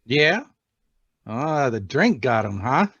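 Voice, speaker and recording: A man's voice: mid-range voice, very animated, slow, a bit bright, breathy edge, dry recording, full character voice. A man playing a curt, slangy young gunman henchman, sneering and tough, in a 1940s-style radio drama over a video call. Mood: gloating, vicious. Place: remote read-through over a video call. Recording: muffled, dry video-call audio.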